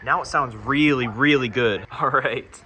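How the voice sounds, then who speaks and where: A man's voice: medium pitch, a little slow, sharp tone. A man talking casually through a hands-on DIY electronics project as he presents it.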